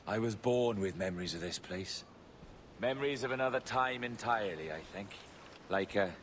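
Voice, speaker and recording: A man acts out a middle-aged pirate captain for a game cutscene. His low voice is formal and eloquent, grandiose and full of mystery. Clear outdoor noise sits behind him. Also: a bit bright, lightly acted. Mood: cryptic, calm. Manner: measured, eloquent, formal and theatrical. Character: cryptic, grandiose male pirate captain with ancient memories. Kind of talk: video game cutscene acting.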